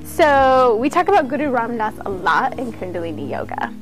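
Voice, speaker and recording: A woman's voice: on the high side, very animated, normal speed, music in the background, rough recording. A woman giving an informal instructional talk as a yoga teacher, conversational and earnest.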